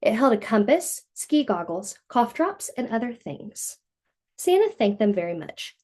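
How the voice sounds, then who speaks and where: Animated, mellow woman reading a storybook. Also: a little high, measured pace. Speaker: storybook reader for children. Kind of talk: read-aloud storytelling for young children.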